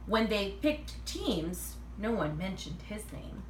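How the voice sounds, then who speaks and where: A woman reads a children's picture book aloud in an expressive, animated way, acting out the dialogue. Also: medium pitch, slow. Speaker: read-aloud reader. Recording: roomy, distant mic, faint noise.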